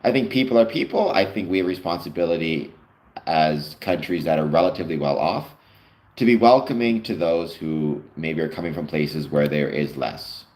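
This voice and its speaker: A low-voiced man from Canada hosts a live online show and chats casually, answering comments as they come in. He rambles a little and fills his pauses with ums and uhs. The sound carries a noticeable room echo. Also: darkish tone. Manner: rambling, many fillers, answers chat comments. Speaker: livestream host. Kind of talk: casual livestream chat reading comments. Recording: echoey room.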